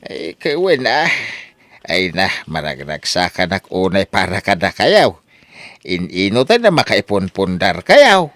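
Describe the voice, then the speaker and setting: A man's voice: low voice, sing-song, unhurried, a bit bright, no echo, heavily acted. A man playing a plain-spoken older father who advises his grown children emphatically in an Ilocano audio drama. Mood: pleased, advising.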